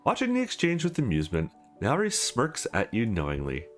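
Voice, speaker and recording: A man hosting a let's play, reading game script aloud in an expressive, sing-song way and adding brief reactions. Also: medium pitch, brisk, faint music behind. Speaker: let's play host reading visual novel dialogue aloud.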